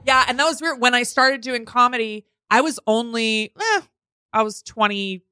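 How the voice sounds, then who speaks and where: A woman's voice: a little high, animated, normal speed, sharp tone, clear, resonant tone, no echo. A woman in her mid-thirties, a guest on a studio podcast, talking candidly with lots of "like" and "um". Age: 30s. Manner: candid and chatty, with frequent "like" and "um". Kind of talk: casual chat.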